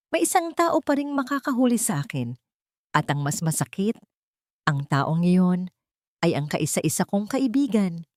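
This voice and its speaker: A woman narrating a scripted confession story in the first person, with solemn, emotive, deliberate phrasing. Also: fairly low pitch, a little mellow, no room sound, clean studio sound.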